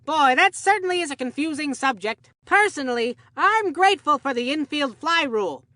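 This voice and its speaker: A cheerful, forgetful old grandpa calling baseball in a kids' cartoon game. The voice is high and piercingly bright, recorded very dry and close. He rambles in a folksy, excitable way. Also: moderate pace, no room sound. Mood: rambling, folksy. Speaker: sports commentator in a children's baseball game.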